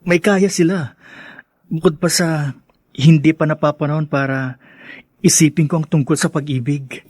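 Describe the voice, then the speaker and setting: A man's voice: a little high, fairly even intonation, moderate pace, a little mellow, breathy edge, no room sound. A man with a Filipino accent playing a humble, bashful young working man in a radio drama, earnest and hesitant. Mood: flustered, shy.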